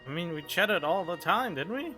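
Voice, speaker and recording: A man's voice: high voice, lively intonation, normal speed. Dry male game streamer, music behind. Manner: dry, joking asides. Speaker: gaming streamer. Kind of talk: casual playthrough commentary. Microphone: studio condenser microphone.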